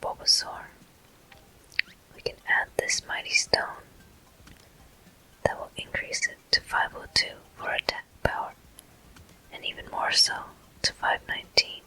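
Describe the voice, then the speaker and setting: A person whispering gentle, unhurried ASMR commentary as a video game walkthrough host. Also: low-quality recording. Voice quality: soft, breathy whisper.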